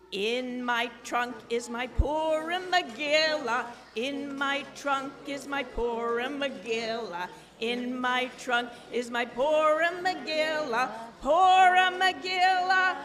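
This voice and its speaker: A high-pitched woman leads a live children's sing-along with unhurried, drawn-out delivery. She is playful and animated, prompting the young audience. The sound is a big, reverberant hall with music. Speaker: children's event song leader. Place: large indoor social hall. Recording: echoey social hall with music.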